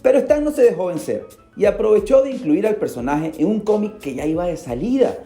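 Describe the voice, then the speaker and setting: A man hosts a podcast and narrates a story in a dramatic, animated way. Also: high voice, brisk. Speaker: podcast host. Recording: roomy echo. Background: faint music.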